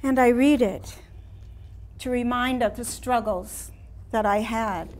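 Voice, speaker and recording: Woman playing a slow, melancholy elderly painter. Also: on the high side, darkish tone. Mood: regretful. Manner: theatrical, measured, with long pauses. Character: ailing elderly woman painter, melancholy, reflective, looking back. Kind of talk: staged play reading, dramatic monologue. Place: small indoor room before a seated audience.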